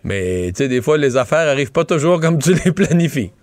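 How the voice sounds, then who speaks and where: Animated middle-aged Québécois commentator. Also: male voice, fairly high pitch, fairly quick, no room sound. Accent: Québécois French. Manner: rambling, animated, restarts mid-sentence. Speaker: political commentator. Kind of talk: casual political analysis chat.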